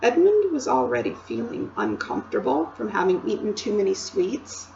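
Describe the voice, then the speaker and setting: A woman, a teacher, reading a storybook aloud in an expressive way and stopping to ask her listeners questions. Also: a little high, moderate pace, vocal fry, lo-fi sound, a little hiss or hum. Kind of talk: read-aloud storybook narration.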